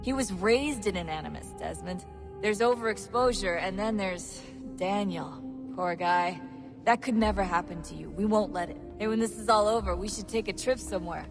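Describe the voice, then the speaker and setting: A woman's voice: mid-range voice, normal speed, vocal fry, over music, natural voice. An actress playing an easygoing, supportive young woman on a covert team in a video game, casual and friendly. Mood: sympathetic, reassuring. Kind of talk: video game cutscene acting.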